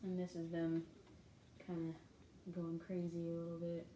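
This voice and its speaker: Female storybook reader, expressive, chatty asides to kids; echoey room, slight noise. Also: fairly low pitch. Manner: expressive, pausing on pictures with informal comments. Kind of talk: read-aloud storytelling with casual asides to children. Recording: roomy echo, light background noise.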